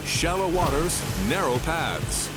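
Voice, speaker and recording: A man's voice: a little high, takes it slowly, loud music behind the voice. An actor playing a middle-aged male race co-commentator in a video game, a hearty enthusiast with an emphatic announcer delivery. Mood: excited. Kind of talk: broadcast sports commentary.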